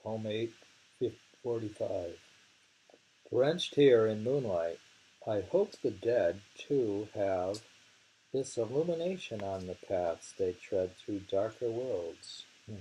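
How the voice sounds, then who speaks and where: A man reading poetry aloud and commenting casually, in a halting, musing way that circles back over phrases. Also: lowish voice, lively intonation, warm tone, husky, poor audio.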